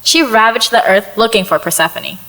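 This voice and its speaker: A woman playing a brisk, composed ancient female spirit with an arch, slightly formal manner in an audio play. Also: a little high, sharp tone, low-quality recording. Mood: arch reminiscing.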